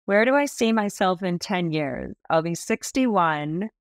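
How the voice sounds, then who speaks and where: A woman in her early fifties talks with bright enthusiasm as a podcast guest, her voice sitting a little low for a woman. She is recorded on a video call in a small room with a close, dry sound. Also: unhurried, no room sound. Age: 50s. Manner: animated, enthusiastic storytelling with expressive hand gestures. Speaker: guest stylist.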